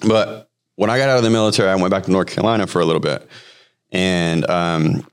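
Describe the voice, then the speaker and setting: A man in his thirties, raised in Hawaii, telling his personal testimony as a podcast guest in a halting, casual way full of fillers and restarts. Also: low voice, clean tone. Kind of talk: personal testimony, conversational storytelling.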